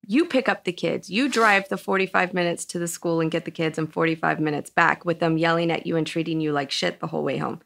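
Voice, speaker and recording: Creaky-voiced woman talking frankly. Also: lowish voice, quick pace, few pauses, a bit bright. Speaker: therapy client, wife and mother. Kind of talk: candid conversation.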